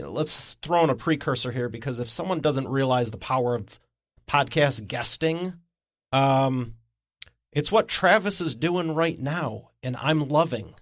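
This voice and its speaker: A man's voice: medium pitch, dry recording. Podcast host guy, friendly and encouraging, dry close sound. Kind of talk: interview hosting.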